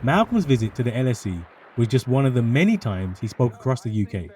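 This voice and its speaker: A man's voice: medium pitch, sing-song, quick pace, warm tone, a little hiss or hum. A man reading scripted documentary narration.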